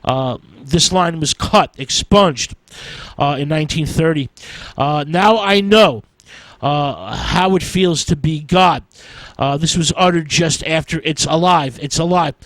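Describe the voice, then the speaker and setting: A man giving an informal film history talk as a radio presenter, in a halting, hesitant way with an "uh" before nearly every phrase. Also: on the high side, fairly bright tone, dry recording, rough recording.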